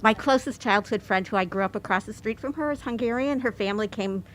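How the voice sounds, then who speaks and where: A woman's voice: mid-range voice, quick pace, a bit bright, slight background noise, low-quality recording. A woman from Detroit with an American accent, telling a conversational personal anecdote as an audience member before asking a question. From Detroit, United States. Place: bookstore event.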